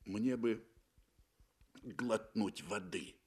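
A frightened rich man on safari, acted out by a male radio narrator. He speaks slowly and haltingly, uneasy and questioning, in a radio drama. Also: on the low side, expressive, a little mellow, husky, exaggerated voice.

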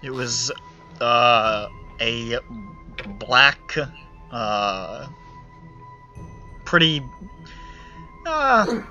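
A man's voice: mid-range voice, very animated, extremely slow, pauses often, pushed and bright. A man running a tabletop game, casually describing details in a dry, hesitant way. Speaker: game master. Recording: slightly dull audio, music behind. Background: background music.

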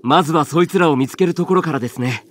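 A man's voice: on the high side, racing, a little hiss or hum, lightly acted. A man playing a polite, earnest young warrior in a Japanese anime game, formal and respectful, easily flustered. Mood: earnest, puzzled. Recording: music behind the voice.

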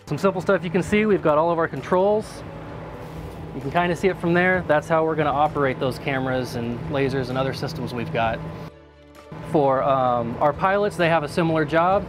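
Relaxed man walking through a cockpit. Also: on the high side. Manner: relaxed, plain-spoken, with frequent fillers. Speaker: sensor operator explaining a drone cockpit. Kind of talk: casual explanatory walkthrough. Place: inside a small equipment-filled control station.

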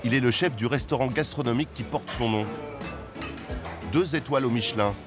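A man giving scripted, measured narration for a television travel report. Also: medium pitch, music in the background. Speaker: documentary narrator.

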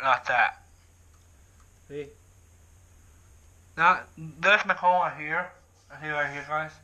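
Slow-talking excited young man at home. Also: mid-range voice, low-quality recording. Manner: excited, repeats himself, restarts sentences. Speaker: vlogger. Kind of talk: casual vlog to camera. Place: living room at home, indoors. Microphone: camera on tripod, talks through a handheld bullhorn.

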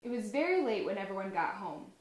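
A woman's voice: mid-range voice, fairly quick. A young woman reading a children's story aloud expressively in a living room, acting out the dialogue. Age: young adult. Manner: animated, acts out dialogue. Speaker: storybook reader, high school senior. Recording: roomy indoor echo.

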